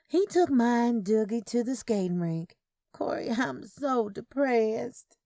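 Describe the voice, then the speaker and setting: A woman's voice: fairly high pitch, expressive, slow, mellow, no room sound, clean studio sound, voice pushed up. A woman voicing a dramatic, whiny, exasperated pregnant sister in an audio story.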